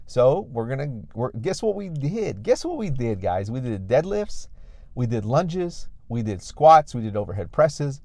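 An American man with a mid-pitched voice delivers a motivational fitness talk as a coach. He is emphatic and exhorting, repeating key phrases to drive them home. The sound is dry, with very little room to it. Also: a little mellow, no room sound. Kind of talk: motivational talk to an audience online.

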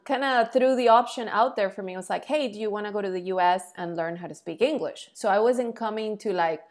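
A woman, a guest on an interview, telling her story in a lively, frank and self-deprecating way. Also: medium pitch. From Colombia. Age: 40s. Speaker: guest, entrepreneur.

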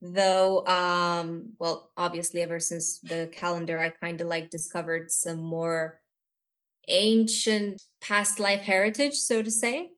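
A woman's voice: fairly low pitch, little pitch movement, slow, a bit bright. A woman from Romania, talking reflectively and hesitantly as a guest on a podcast. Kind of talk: reflective personal talk.

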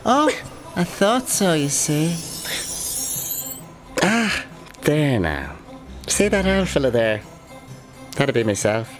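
An actor playing a gentle, chatty old Irishman who rambles and muses in an audio drama. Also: a little high, very animated, slow, long pauses, soft background music. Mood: gently sympathetic. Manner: rambling, musing, tag questions. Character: gentle, chatty, ghostly old fellow narrating and watching passengers.

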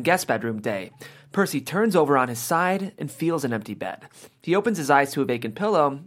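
Narrator for a screenplay table read, middle-register voice, reading scene headings and action lines. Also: mid-range voice. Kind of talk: reading screenplay stage directions aloud.

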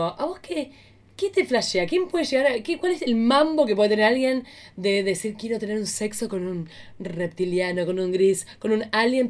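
A woman with an Argentine accent chatting casually as a podcast co-host, expressive, bawdy and joking. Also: medium pitch. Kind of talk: casual comedic chat.

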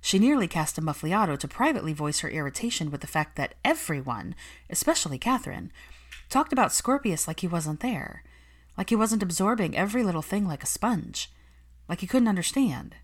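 A woman reading fan fiction as an audiobook narrator, in expressive, measured scripted storytelling. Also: lowish voice.

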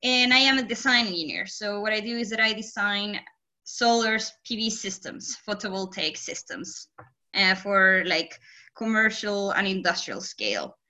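A woman's voice: mid-range voice, lively intonation, normal speed, sharp tone. A young woman from Chile with a Chilean Spanish accent, talking casually and eagerly as an interview guest, with many fillers. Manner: enthusiastic, many fillers, laughs easily. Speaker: guest, design engineer.

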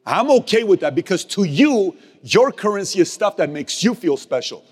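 Man, motivational leader, emphatic and repetitive, very lively delivery, reverberant hall. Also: sits high, normal speed, slightly rough. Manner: emphatic, repeats key phrases, swings from loud to hushed; casual street slang and mild cursing. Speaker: business leader speaking to his team. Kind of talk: motivational speech.

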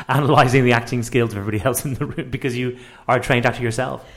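Guy interviewer, talks fast, casual chat, stumbles a bit into his questions. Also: medium pitch, steady tone. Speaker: radio interviewer.

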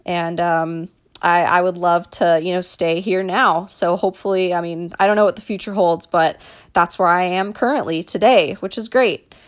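A woman's voice: fairly low pitch, fairly even intonation, moderate pace. A young woman from Dallas, hosting a casual solo podcast and talking in a chatty way, with lots of ums and restarts. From Dallas, Texas. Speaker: podcast host, mental performance coach.

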